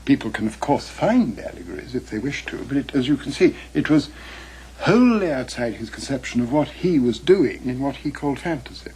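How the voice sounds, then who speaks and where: A man giving interview commentary for a documentary in a deliberate, formal way. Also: mid-range voice, big pitch swings, a little mellow, husky, low-quality recording.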